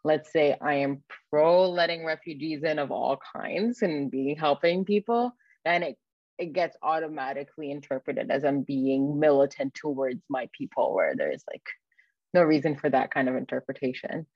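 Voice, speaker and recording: A woman's voice: low voice, lively intonation, normal speed, no room sound. Young woman from Egypt, slightly dull audio. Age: 20s. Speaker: guest, early-career academic researcher. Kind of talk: conversational interview answer.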